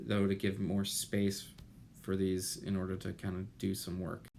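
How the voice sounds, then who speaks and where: A man in his thirties giving an explanatory lecture as an instructor, deliberate and pausing, with rhetorical questions. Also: fairly low pitch. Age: 30s. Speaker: chemistry instructor. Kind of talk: explanatory lecture over slides. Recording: noticeable steady background noise.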